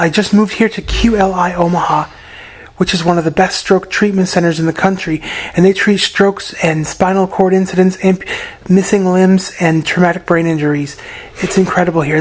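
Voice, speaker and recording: Hoarse man with a raspy voice. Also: high-pitched. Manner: halting, often repeats and restarts words. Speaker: patient giving a recovery update. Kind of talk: casual video update. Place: private room in a rehab facility.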